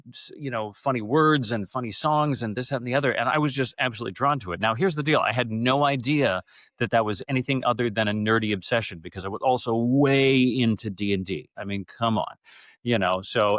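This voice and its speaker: A middle-aged man telling stories from his life as an interview guest, casual, animated and rambling. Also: medium pitch, no echo, clean studio sound. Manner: animated, rambling, acts out quoted speech. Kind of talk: casual conversational storytelling.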